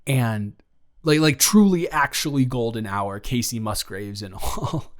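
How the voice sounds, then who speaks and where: A man acts out a young guy in love with a best friend, in an improvised romantic audio roleplay. His voice sits in an average male range. He speaks in a nervous, halting ramble, casual and playful, often doubling back on his own sentences. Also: medium pitch, lightly acted. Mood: tender, wistful. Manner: halting, rambling, self-interrupting, chuckling.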